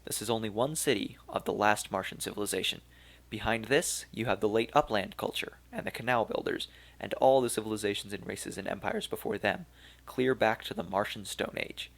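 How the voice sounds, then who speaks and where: A man voicing an elderly, weary archaeologist of Turco-German origin, speaking slowly and regretfully in a gravelly voice. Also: lowish voice, expressive, a bit bright, exaggerated voice. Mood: resigned, fatherly. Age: older.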